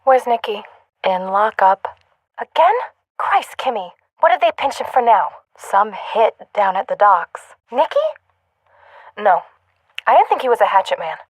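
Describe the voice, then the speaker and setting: An actress playing a sardonic, flirty gangland nightclub regular in a video game, wry and teasing. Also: female voice, mid-range voice, animated, unhurried, no room sound.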